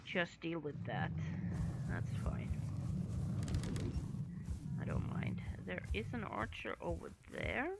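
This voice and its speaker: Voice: mid-range voice, wildly swooping pitch, slow pace, creaky, pauses often, very noisy. A game streamer giving casual commentary while playing and chatting teasingly to the creatures on screen. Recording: loud game music behind voice. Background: loud game music.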